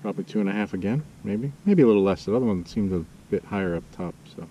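Low-voiced man in muffled, noisy outdoor audio. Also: animated, fast. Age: middle-aged. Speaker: kayak angler and video host. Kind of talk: casual running commentary while fishing. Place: outdoors in a kayak on a lake. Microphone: action camera mounted on body or kayak. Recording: dull muffled action-camera audio, loud outdoor noise.

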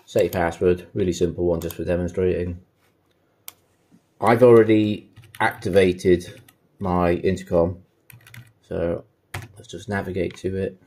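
Deep-voiced man giving a casual tutorial. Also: fairly even intonation, measured pace, long pauses, warm tone. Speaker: installer demonstrating intercom setup.

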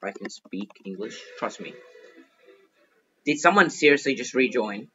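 Bright-voiced male gamer, slightly dull recording. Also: mid-range voice, expressive, a little slow, soft background music. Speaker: gaming video host. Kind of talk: casual gameplay commentary.

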